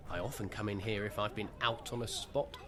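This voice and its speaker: A man's voice: on the low side, steady tone, brisk, crowd noise, natural voice. A man acting in a radio play as an earnest, naive bus-spotter who is awkward and pedantic about trivia. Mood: earnest, enthusiastic.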